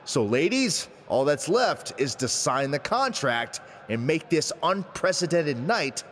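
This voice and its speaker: A man's voice: on the high side, big pitch swings, unhurried, a bit bright. A man playing an earnest wrestling presenter who formally hosts an event in a video game. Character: earnest male wrestling host moderating a contract signing. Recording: long arena reverb, faint noise.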